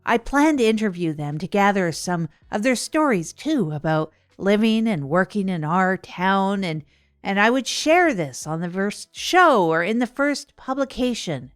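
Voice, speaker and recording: A woman's voice: mid-range voice, expressive, unhurried, clean tone, no room sound, studio quality, lightly acted. A woman playing a self-deprecating radio host in an improvised comedy audio drama, conversational and given to digressions. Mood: chatty, wry. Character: chatty, rambling radio show host chronicling self-improvement attempts. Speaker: host and narrator.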